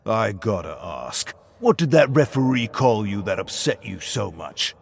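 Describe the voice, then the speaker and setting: A man voicing a drunk, brutish pub fan who drawls loudly and taunts in an audio story. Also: fairly low pitch, very animated, unhurried, creaky, full character voice. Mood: goading. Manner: drawling, loud, goading.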